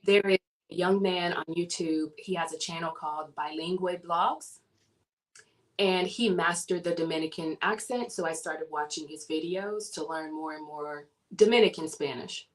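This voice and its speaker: Lively storytelling woman. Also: on the low side, moderate pace, poor audio. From southern United States. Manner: animated storyteller, laughs often. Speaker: guest. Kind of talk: casual interview chat.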